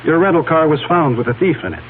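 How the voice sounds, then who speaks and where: An actor playing a dry, suspicious investigator, measured and pointed, in a 1970s radio drama. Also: male voice, fairly high pitch, very animated. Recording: tinny old radio broadcast, faint hum.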